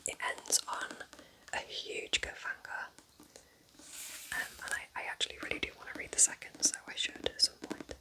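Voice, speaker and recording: Female ASMR creator, full whisper, breathy and soft, gentle unhurried delivery, casual and intimate recommendations. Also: rough recording.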